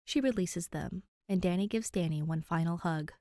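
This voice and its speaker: A woman's voice: on the low side, normal speed, dark tone, dry recording, studio quality. A woman hosting and narrating fan fiction, chatting to listeners and reading expressively. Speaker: podfic reader and channel host.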